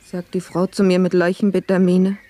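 An actress playing a dutiful, put-upon housemaid in a German radio play, speaking plaintively and earnestly in old-fashioned formal phrases. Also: female voice, lowish voice, completely flat delivery, moderate pace, dark tone, close to an everyday voice.

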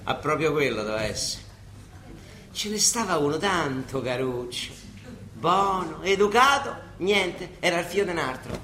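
A noisy, roomy radio-play recording of an old Roman monsignor. He grumbles with dry irony and exasperation in a high, swooping voice and a thick Roman accent. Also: animated, moderate pace, sharp tone. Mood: bitterly ironic. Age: older. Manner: exasperated, ironic, colloquial. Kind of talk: Italian theatrical radio comedy-drama acting.

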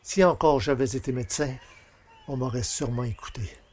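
An actor playing a bitter, vengeful village carpenter, speaking in a brooding, menacing monologue for a French audio drama. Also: male voice, mid-range voice, fairly quick, darkish tone, close to an everyday voice. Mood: bitter, resolved.

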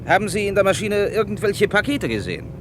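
A man's voice: high voice, big pitch swings, fast talker, noisy background. A man playing a probing, businesslike police inspector in a German radio crime drama, questioning insistently in clipped phrases. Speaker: actor.

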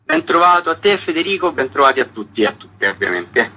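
A man being interviewed as an artistic director on the radio. His voice is bright and sharp at an average pitch. There is some light background noise as he explains a project. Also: sing-song, a little fast, dull audio.

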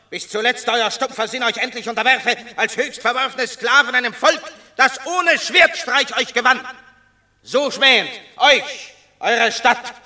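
An actor playing a proud, scornful banished Roman warrior in a radio drama of classical verse, with a declamatory, measured, grand delivery. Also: male voice, very high voice, sharp tone, heavily acted. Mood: furious contempt.

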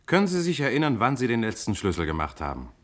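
An actor playing a composed police inspector in a German radio crime drama, questioning suspects with pointed insistence. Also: male voice, medium pitch, huge pitch swings, fast. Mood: probing, insistent.

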